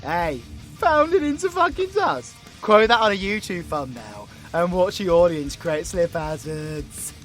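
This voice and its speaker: Cocky British supervillain warlock played by a man, crude taunting banter, very animated, bright audio-drama delivery. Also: sits high, measured pace, soft background music, full character voice. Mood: smug, mocking. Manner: mocking, sweary, theatrical banter. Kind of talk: audio roleplay voice acting.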